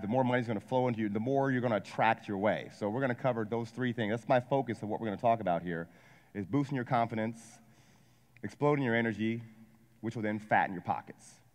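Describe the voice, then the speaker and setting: Man in his forties, energetic seminar speaker. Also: medium pitch. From Ohio, USA. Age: 40s. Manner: energetic, asks the crowd questions, jokes. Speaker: wealth advisor and coach giving a seminar talk. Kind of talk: motivational seminar talk to a live audience. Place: large hall with a live audience. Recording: long hall reverb.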